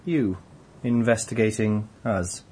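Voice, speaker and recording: An actor playing a nervous, moralising schoolteacher in a radio drama, hesitant and stammering. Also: male voice, fairly low pitch, slow, warm tone, a little hiss or hum. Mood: uneasy. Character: Lawrence, nervous, moralising conscientious objector tutor.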